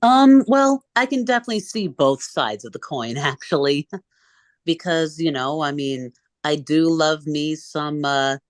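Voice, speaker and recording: A woman's voice: sits low, lively intonation, measured pace, no echo. A woman guest in a casual interview, speaking in an easygoing way.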